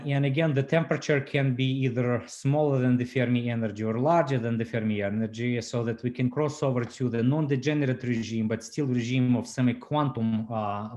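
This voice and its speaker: A man's voice: medium pitch, fairly even intonation, normal speed, a little mellow. A man in his forties giving a physics seminar talk over a video call from home, hesitant with frequent fillers and restarts. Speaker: physics lecturer. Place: home room indoors. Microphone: laptop webcam on video call.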